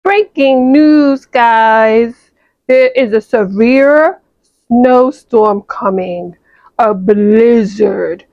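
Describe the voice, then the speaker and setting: A woman voicing a solemn female news anchor with a slow, grave announcer delivery in a toy-play story. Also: fairly high pitch, dry recording, muffled recording.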